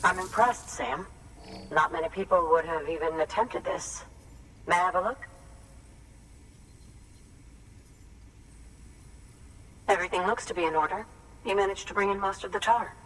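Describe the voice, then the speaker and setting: Low-voiced, composed woman scientist played by an actress. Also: a bit bright, slight background noise, natural voice, low-quality recording. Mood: composed, approving. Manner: articulate, measured, formal. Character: composed female evolutionary biologist, earnest and scholarly. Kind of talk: video game cutscene acting.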